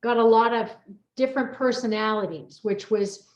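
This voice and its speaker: Female chat host, higher pitched, effusive and encouraging, echoey video call sound. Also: steady tone, moderate pace, muffled recording. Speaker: host, coach and book compiler. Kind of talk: casual chat, hosting a group interview.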